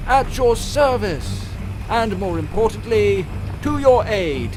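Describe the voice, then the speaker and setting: A man's voice: sits high, animated, takes it slowly, background noise. A man playing a suave, mocking chancellor in an audio drama, with a theatrical, mock-courteous drawl. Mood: smug, taunting. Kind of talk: fan audio drama acting. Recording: music bed under the voice.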